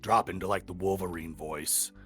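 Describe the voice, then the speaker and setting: A middle-aged man with a smoker's slightly gravelly voice, chatting bluntly and casually as an interview guest. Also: deep voice, steady tone, moderate pace, fairly bright tone. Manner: blunt, rambling, sweary. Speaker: voice actor being interviewed. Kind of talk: casual podcast interview chat.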